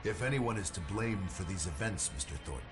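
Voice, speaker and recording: A deep cold accusing spy game villain type, measured, music behind. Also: male voice, expressive. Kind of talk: dramatic video game cutscene acting.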